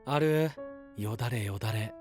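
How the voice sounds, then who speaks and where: A man's voice: mid-range voice, normal speed, music in the background, close to an everyday voice. A man playing an easygoing, friendly young man with a light, teasing, casual manner in an anime audio drama. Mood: relaxed.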